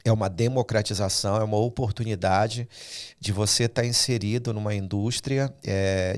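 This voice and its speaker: Low-voiced man hosting a podcast. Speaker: podcast host. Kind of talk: casual interview conversation.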